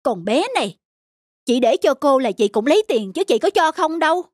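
A woman's voice: high-pitched, big pitch swings, moderate pace, darkish tone, no echo, studio quality. A woman voicing a kind, insistent older scrap collector in a plain-spoken, big-sisterly way. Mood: kindly insistent.

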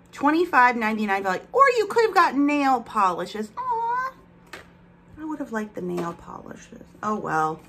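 A woman's voice: medium pitch, fairly bright tone, a little hiss or hum. Chatty woman with a slight lisp. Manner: rambling, talks while handling products. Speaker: vlogger. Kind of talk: casual chatty vlog, unboxing. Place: bedroom at home, indoors.

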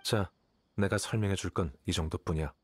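An actor playing an aloof, calculating young scholar in a video game, speaking in a detached, measured, condescending way. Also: male voice, low-pitched, slightly rough, no room sound. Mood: detached, explanatory. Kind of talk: video game character dialogue. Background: game music.

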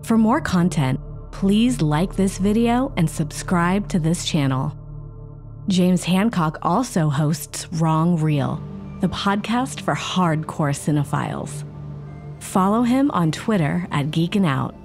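A woman with a fairly low voice delivers a scripted closing announcement. Music plays faintly behind her. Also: lively intonation, takes it slowly, a little mellow. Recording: music under the voice.

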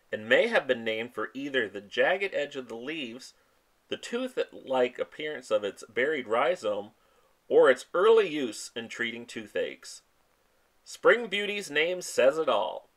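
This man reads a picture book aloud in a voice that swoops up and down with lively, sing-song energy. His pitch sits in the middle of a man's range, and he gives each rhyme and plant name a storyteller's lift. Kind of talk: expressive children's read-aloud. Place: outdoors in a spring forest, and a voice-over on book pages.

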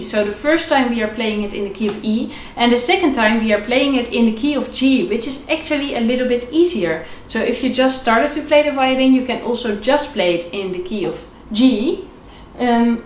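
A woman's voice: a little high, slight background noise. A young woman giving an informal, step-by-step instructional lesson as a violin teacher, repeating key points in an encouraging way. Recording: echoey room. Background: violin playing between phrases.

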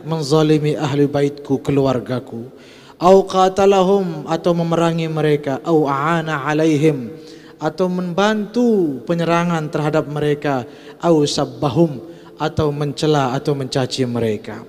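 A man's voice: on the high side, darkish tone. A man in his thirties with an Indonesian accent, preaching a Friday sermon at a pulpit microphone. He chants Arabic quotations and then translates them. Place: large mosque hall, at a pulpit. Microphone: gooseneck pulpit mic. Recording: long mosque reverb.

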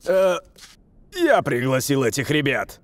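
A man voicing a steady member of a football team in a cartoon. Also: medium pitch, a little slow, dry recording. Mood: serious. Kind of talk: dubbed cartoon dialogue.

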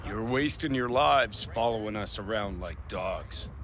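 An actor playing a cocky, bitter, defiant rebel rocker with a swaggering, sardonic manner in a dramatic video game. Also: male voice, on the low side, lively intonation, unhurried, noisy background. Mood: bitter, taunting. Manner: swaggering, sardonic, foul-mouthed. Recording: loud music and gunfire behind.

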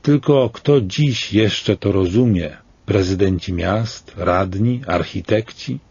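A man in his sixties reading a scripted commentary in a slow, grave and deliberate way, with rhetorical questions. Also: deep voice, darkish tone, breathy edge, no echo, dull audio.

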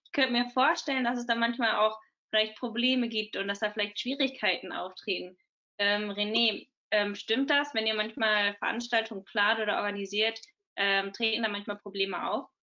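A woman's voice: mid-range voice, fairly even intonation, moderate pace, sharp tone. A woman in her twenties hosting a podcast over a video call, asking friendly questions. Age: 20s. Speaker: podcast host. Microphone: video call from home. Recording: dull lo-fi video call audio.

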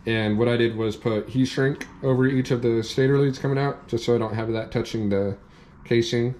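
A young man in a workshop, calmly walking through a hands-on repair step by step, with plain practical talk. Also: mid-range voice, a little hiss or hum. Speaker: off-road channel host demonstrating a repair. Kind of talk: hands-on how-to explanation. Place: indoor workshop at a workbench.

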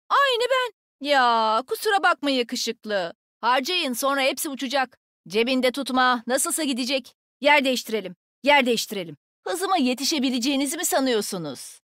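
A woman's voice: high voice, a bit bright, no echo, clean studio sound. A woman playing a cocky, nimble young female agent in a shooter game, with a sassy, brash, taunting manner. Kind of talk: video game combat voicelines.

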